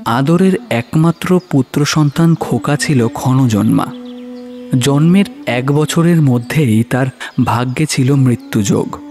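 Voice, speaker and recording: A man's voice: medium pitch, measured pace, darkish tone. Male horror-story narrator over music. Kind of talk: dramatic scripted narration.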